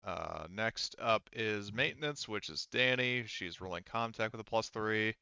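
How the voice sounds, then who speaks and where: A man casually running a tabletop roleplaying game as game master, halting and thinking aloud as he goes. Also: fairly low pitch, lively intonation, creaky, pushed and bright, clean tone, dry recording, lo-fi sound.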